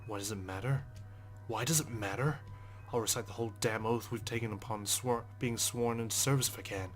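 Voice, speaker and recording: A man's voice: on the low side, brisk, soft background music. A man hosting a game playthrough, reading visual novel narration aloud in a brooding, sweary way with casual asides. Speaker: let's play host.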